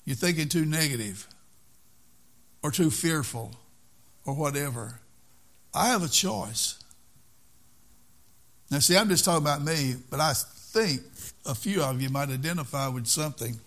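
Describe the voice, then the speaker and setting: A man's voice: fairly high pitch, lively intonation, a little slow, long pauses, a bit bright. An older man preaching a sermon in an earnest way, repeating key phrases for emphasis.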